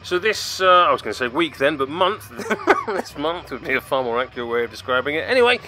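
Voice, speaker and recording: A man's voice: fairly high pitch, huge pitch swings, brisk, sharp tone, soft background music, low-quality recording. A man hosting a web series, giving jokey, self-deprecating commentary on tabletop games with theatrical turns of phrase. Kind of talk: tabletop game video commentary.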